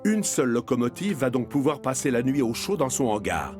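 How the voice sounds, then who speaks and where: A man's voice: mid-range voice, big pitch swings, fairly quick, darkish tone, faint music behind. A man narrating a scripted children's story.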